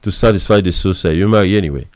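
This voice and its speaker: A man's voice: deep voice, racing, a little mellow, dry recording, clean studio sound. A man lecturing women on marriage as a pastor and counselor, repeating his points with emphasis. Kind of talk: preachy advice monologue.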